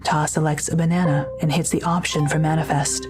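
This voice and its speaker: A woman's voice: sits low, level tone, fairly quick, over music. A woman reading scripted audio drama narration in a neutral, descriptive manner.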